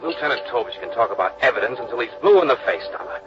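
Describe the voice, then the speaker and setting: A man's voice: mid-range voice, expressive, rapid-fire, music in the background, lightly acted. Man acting as a nervous stammering fiancé, voice jumping all over, old muffled radio sound. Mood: anxious. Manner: flustered, stammering, insistent. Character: worried young man, defensive fiancé. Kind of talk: 1950s radio drama acting.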